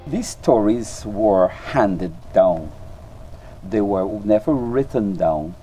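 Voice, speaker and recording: A man's voice: fairly low pitch, lively intonation, slow, dark tone, muffled recording, soft background music. An older man with a Guyanese accent, a storyteller and clown giving earnest interview answers, often repeating words as he searches for them.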